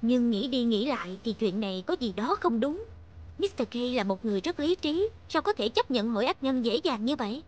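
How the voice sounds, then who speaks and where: A woman's voice: fairly high pitch, very animated, fairly quick, darkish tone. An actress playing a thoughtful, concerned superhero mother in a cartoon, reasoning things through. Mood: pensive. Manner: reflective, reasoning.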